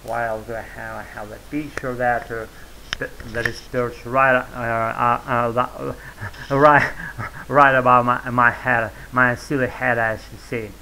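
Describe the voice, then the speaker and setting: A man's voice: medium pitch, level tone, measured pace, gravelly, pushed and bright, a little hiss or hum, poor audio. A man in his forties who is a native Russian speaker, talking in a rambling casual vlog to his webcam at home, full of fillers and self-corrections, with a sore throat. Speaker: vlogger. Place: small room at home, indoors. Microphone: webcam at desk.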